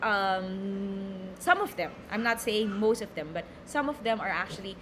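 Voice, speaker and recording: A woman's voice: medium pitch, animated, measured pace, fairly bright tone, a little hiss or hum. Hesitant Filipino woman teaching a lesson. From Philippines. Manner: hesitant, many repeated words and fillers. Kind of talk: recorded online lecture reading slides.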